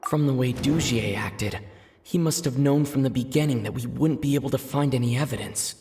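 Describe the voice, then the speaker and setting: An actor voicing a calm, thoughtful adventurer in a video game, musing deliberately through a mystery. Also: mid-range voice, noisy background, natural voice. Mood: pensive.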